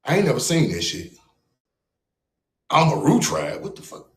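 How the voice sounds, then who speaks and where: A man's voice: mid-range voice, sing-song, normal speed. A man from the United States hosting a livestream, ranting in a mocking, confrontational and profane way. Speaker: livestream host. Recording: roomy echo.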